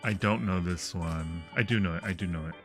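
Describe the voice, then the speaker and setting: A man's voice: deep voice, fairly quick, a bit bright. A man hosting a casual gaming livestream, chatting and reacting as he plays along with viewers. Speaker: livestream host. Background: game music.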